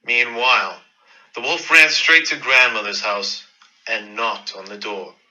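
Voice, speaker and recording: A man's voice: mid-range voice, fairly even intonation, a little slow, pushed and bright, dull audio. A man narrating a scripted fairy-tale radio play in a dramatic storytelling manner.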